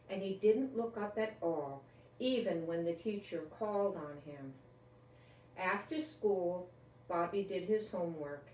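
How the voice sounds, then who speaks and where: Older female storyteller for children's book read-aloud; slow, deliberate, expressive emphasis; echoey, distant sound. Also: medium pitch. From Rhode Island, United States. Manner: deliberate, expressive, with repeated emphasis on key words. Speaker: grandmother storyteller. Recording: roomy, echoey, distant from the mic.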